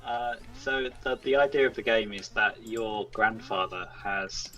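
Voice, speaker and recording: A man's voice: on the low side, a little slow, bright, forceful tone. A man speaking as a guest game developer, chatting casually. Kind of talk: casual chat. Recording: distant mic with music underneath. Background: music.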